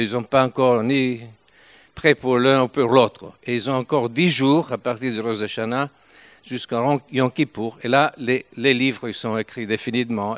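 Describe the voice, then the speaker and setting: A man in his seventies from Italy with an Italian accent, giving an informal sermon in a halting way, with frequent restarts and pauses. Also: mid-range voice, fairly bright tone, no echo. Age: 70s. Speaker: preacher.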